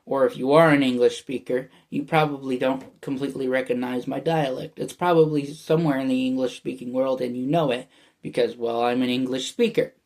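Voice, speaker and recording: A man's voice: medium pitch, steady tone, fairly quick, a little mellow. A young man from the United States with an American accent that people mistake for Canadian, talking in a meandering casual monologue full of fillers and restarts. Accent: American, often heard as Canadian. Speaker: vlogger.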